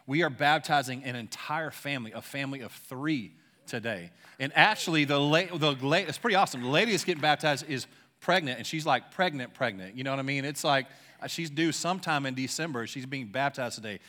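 A young man preaching a sermon in a church, casual and excited, using slangy talk and leading a prayer. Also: medium pitch, a little fast. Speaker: pastor. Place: church sanctuary.

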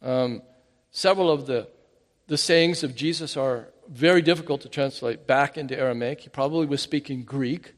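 A man preaching a sermon in an animated, emphatic way, often restarting his phrases. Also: mid-range voice, normal speed. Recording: hall reverb.

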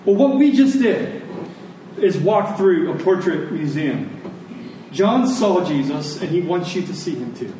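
High-voiced male preacher in an echoey room. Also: sing-song, measured pace, warm tone. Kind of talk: sermon to a congregation. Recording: echoey room, distant mic, faint noise.

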